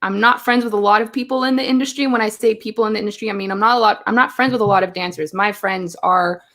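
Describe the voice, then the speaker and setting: A woman's voice: mid-range voice, fast talker. A woman from Belgium, a choreographer, chatting as a guest on a live show and telling stories with quoted asides. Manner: chatty, animated storytelling with acted-out quotes. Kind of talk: casual interview chat. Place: home, live stream.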